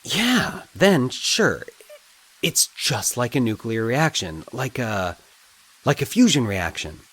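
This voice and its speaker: A man voicing an anxious, excitable male character thinking aloud in halting, agitated bursts in an audio drama. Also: mid-range voice, husky, pitched above the performer's own voice, heavily acted. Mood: excited, grappling. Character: Mike, anxious excitable man puzzling out eerie coincidences. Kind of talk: dramatic audio fiction monologue.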